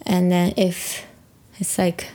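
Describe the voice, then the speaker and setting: A woman with a Japanese accent, a native Japanese speaker, casually explaining language as a guest in halting pieces with examples. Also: lowish voice, little pitch movement, dark tone.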